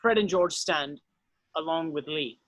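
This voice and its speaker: A woman's voice: sits low, measured pace, no echo. A woman narrating stage directions from a script.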